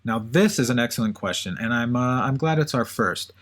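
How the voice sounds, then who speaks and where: A man's voice: mid-range voice, expressive, brisk, fairly bright tone. A man hosting a podcast with conversational, semi-scripted storytelling. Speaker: podcast co-host.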